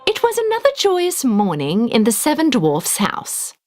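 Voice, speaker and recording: Highly animated woman storyteller. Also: a little slow, music in the background. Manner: expressive storytelling. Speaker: narrator. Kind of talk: scripted children's fairy tale narration.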